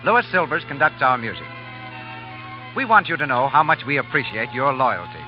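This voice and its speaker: Male announcer, lively swooping pitch, polished ad read, dull 1930s radio sound. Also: moderate pace. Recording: dull 1938 radio broadcast, music behind.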